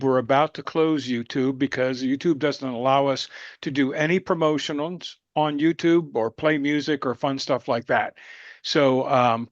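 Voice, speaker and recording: A man's voice: fairly high pitch, no echo, muffled recording. A man co-hosting a live webinar, making friendly, upbeat announcements to viewers and laughing easily. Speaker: co-host, event moderator.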